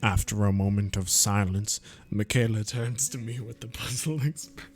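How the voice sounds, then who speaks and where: A man's voice: on the low side, steady tone, normal speed, soft background music, close to an everyday voice. A man voicing a sneering older male wizard teacher while reading game narration, drawling out mocking titles.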